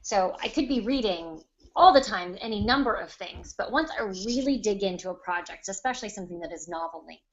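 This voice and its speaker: A woman's voice: medium pitch, animated, fairly quick, muffled recording. A woman writer on a podcast panel, explaining her craft conversationally and in detail with examples.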